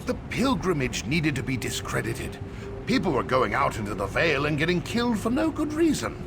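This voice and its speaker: A man playing an earnest fantasy game townsman, talking in a measured, articulate, conspiratorial manner. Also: on the high side, huge pitch swings, creaky, background noise, full character voice. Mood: earnest, urgent. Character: earnest male fantasy townsman confiding a secret. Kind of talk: AI-voiced fantasy game dialogue.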